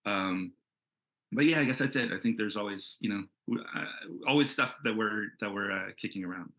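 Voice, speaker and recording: A man answering casually as an interview guest, halting as he searches for his words. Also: fairly low pitch, a bit bright. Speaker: comics creator and screenwriter, interview guest. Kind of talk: casual Q&A chat.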